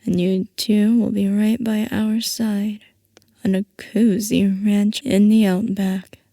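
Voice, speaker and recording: Slow, mellow woman acting as a scheming scientist boss. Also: medium pitch, level tone, no room sound, studio quality. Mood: sly, coaxing. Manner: soft, coaxing, affectionate villainy. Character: playful scheming female scientist boss, possessive, doting on her assistant. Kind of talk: ASMR roleplay acting.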